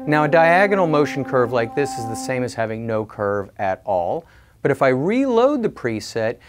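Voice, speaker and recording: A man narrating a scripted product review in a clear, explanatory way. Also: mid-range voice, very animated, normal speed, slight background noise.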